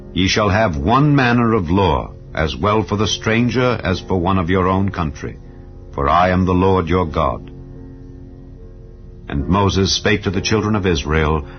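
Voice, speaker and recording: A man reading scripture aloud in a solemn, measured way. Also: low voice. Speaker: Bible reader. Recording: dull lo-fi audio with faint background music. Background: soft ambient music.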